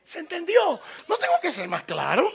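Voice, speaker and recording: High, gravelly voice of a fervent preacher. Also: male voice, huge pitch swings, moderate pace. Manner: fervent and emphatic, with repetition and rising exhortation. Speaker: radio preacher. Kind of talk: evangelical sermon.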